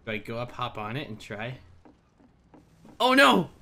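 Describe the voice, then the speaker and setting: Excitable, bright-voiced man playing a game. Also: mid-range voice, unhurried, gravelly. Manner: excitable, nervous reactions to the game. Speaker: video game player. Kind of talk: casual gaming commentary.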